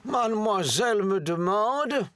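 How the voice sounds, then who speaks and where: A man's voice: high-pitched, animated, measured pace, music in the background. A man voicing a stiff, ceremonious butler in a children's cartoon, pompous and excessively formal. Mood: stern formality.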